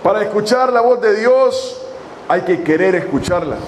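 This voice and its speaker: High-voiced man preaching a sermon. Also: animated, slight background noise, rough recording. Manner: preaching with long pauses, reading scripture slowly. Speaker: pastor. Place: church hall.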